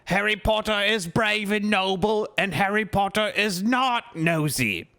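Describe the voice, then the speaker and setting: A man voicing an eager, devoted male house elf who talks in a high, earnest voice and names himself. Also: a little slow, sharp tone, clear, resonant tone, heavily acted. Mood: indignant.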